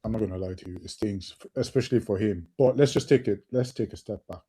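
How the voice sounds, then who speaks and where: Casting: male co-host, low mellow voice, sniffly, fervent, stumbles over repeated words. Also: fast talker, breathy edge. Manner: passionate, repeats words while thinking, swears. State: sniffly from hay fever. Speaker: podcast co-host. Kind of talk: casual football podcast chat.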